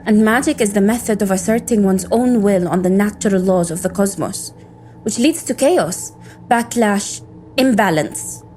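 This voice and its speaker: An actress playing an irritable, sarcastic cybersecurity operative in an audio drama, with a dry, cynical way of talking. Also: female voice, medium pitch, normal speed, darkish tone, soft background music, natural voice. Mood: exasperated.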